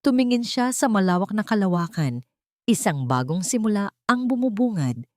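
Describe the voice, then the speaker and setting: A woman's voice: medium pitch, expressive, moderate pace, no room sound, clean studio sound. A woman narrating a dramatic story from a script.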